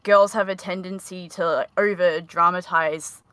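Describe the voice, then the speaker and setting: A woman with a high-pitched voice talks in a halting, casual way, full of fillers and restarts. Her tone is bright and forward. The sound is dry and close. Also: steady tone, no echo. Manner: hesitant, many fillers and restarts. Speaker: panel guest. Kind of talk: casual panel discussion.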